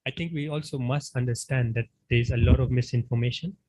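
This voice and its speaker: A man's voice: mid-range voice, fairly even intonation, brisk, warm tone. A man, a surgeon, giving a thoughtful panel answer at a health education day. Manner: thoughtful, many fillers and restarts. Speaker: doctor, surgeon.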